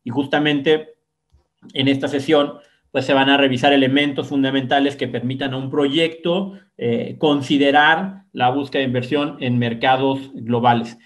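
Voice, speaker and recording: Un hombre que modera un foro en línea presenta al ponente, repitiendo palabras y con muletillas. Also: male voice, mid-range voice, steady tone, normal speed. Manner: hesitant with repeated words. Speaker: event moderator. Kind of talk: introducing a guest speaker.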